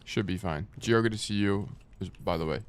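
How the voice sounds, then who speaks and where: A man's voice: lowish voice, moderate pace. A young man streaming and coaching a game, chatting casually with a stuffy, nasal morning voice and rambling as he answers chat. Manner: rambling, joking, reads chat aloud and answers.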